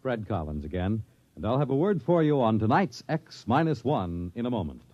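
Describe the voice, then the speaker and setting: A man's voice: medium pitch, big pitch swings, normal speed, over music. A man announcing in scripted 1950s network radio style. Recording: dull old radio recording.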